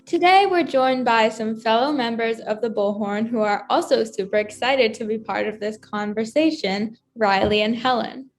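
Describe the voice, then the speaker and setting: A woman's voice: a little high, moderate pace, few pauses, soft background music. A teenage young woman with an American accent, co-hosting a student podcast in a casual, chatty style.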